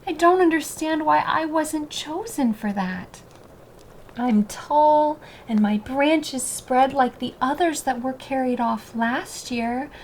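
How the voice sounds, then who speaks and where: A woman's voice: high voice, slow, slight background noise, voice pushed up. A woman voicing a restless, discontented young male fir tree in a children's storybook, wistful and full of eager questions. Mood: envious.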